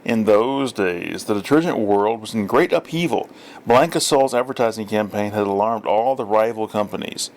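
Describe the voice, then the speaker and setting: A man's voice: fairly low pitch, moderate pace, slight background noise. A man reading a literary story aloud as scripted narration. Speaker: audiobook reader.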